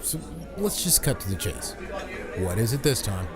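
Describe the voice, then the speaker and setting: A man's voice: on the low side, sing-song, normal speed, music in the background. An actor playing a sarcastic, exasperated family man and loyal friend in an audio drama, dry, blunt and teasing.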